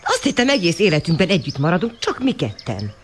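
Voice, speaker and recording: Earnest schoolboy, lively intonation. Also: female voice, lowish voice. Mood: troubled. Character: earnest, devoted schoolboy troubled about his mother. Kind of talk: radio drama acting. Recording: noisy 1980 radio drama recording.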